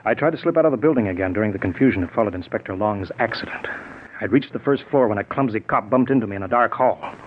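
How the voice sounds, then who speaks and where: A man plays a cold, commanding scientist villain in a 1940s radio thriller. His voice is on the low side and he brushes off all objections with full confidence. The recording is dull, with some noise behind it. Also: fast talker, lightly acted. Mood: strained, matter-of-fact. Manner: dismissive, self-assured. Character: cold, commanding male scientist turned invisible killer.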